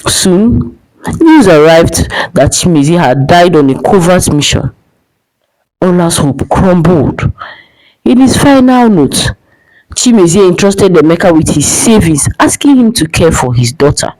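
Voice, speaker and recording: Woman storyteller with lively, swinging pitch. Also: a little slow, low-quality recording. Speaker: storyteller, narrator. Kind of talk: dramatic folktale narration.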